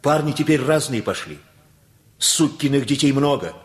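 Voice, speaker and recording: A man's voice: medium pitch, expressive, moderate pace, lightly acted. An older male actor in a radio play, playing an anxious, simple-hearted working-class father who talks in a folksy, hesitant, colloquial way. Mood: troubled, confiding.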